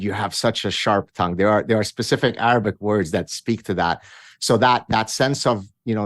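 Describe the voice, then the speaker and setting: Low-voiced wry man from Lebanon. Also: no room sound, studio quality. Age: 50s. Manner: wry, self-mocking, frequent restarts and fillers. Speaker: guest author. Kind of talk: conversational interview storytelling.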